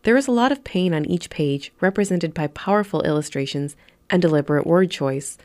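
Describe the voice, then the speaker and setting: A woman interviewer reading scripted narration in a measured, composed way. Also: lowish voice, animated, a little mellow.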